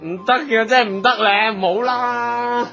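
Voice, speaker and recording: Timid, whiny young Hong Kong singer. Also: male voice, extremely high pitch, fairly even intonation, normal speed, lo-fi sound, over music. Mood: scared, pleading. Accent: Hong Kong Cantonese. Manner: hesitant, whining. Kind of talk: Hong Kong radio comedy drama acting.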